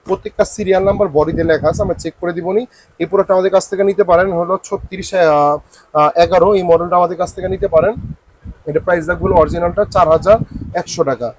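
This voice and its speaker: Male Bangladeshi shop salesman, high husky voice, informal showcase delivery with repeated price patter. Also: steady tone, fast, no echo, low-quality recording. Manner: repetitive sales patter, some English words. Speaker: shop salesman presenting products.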